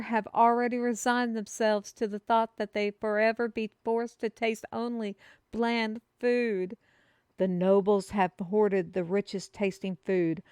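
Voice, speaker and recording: A woman playing an excitable, earnest kingdom messenger in a fantasy audio roleplay, with theatrical proclaiming and formal old-fashioned wording. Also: mid-range voice, clear, resonant tone, no echo, clean studio sound, lightly acted. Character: excitable, earnest female kingdom messenger bearing a food prophecy. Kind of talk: fantasy audio roleplay, speaking to one listener.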